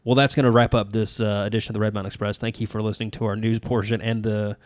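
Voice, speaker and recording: A man's voice: fairly low pitch, flat delivery, quick pace, dry recording, clean studio sound. A man, co-hosting a podcast in a folksy, rambling, self-deprecating way. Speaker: podcast co-host. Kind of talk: casual chat.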